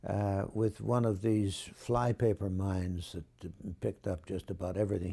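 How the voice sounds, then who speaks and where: Older male interviewee, low and slightly husky, measured and articulate, looking back with admiration. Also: dark tone. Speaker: interviewee recalling radio drama history. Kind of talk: reflective reminiscence.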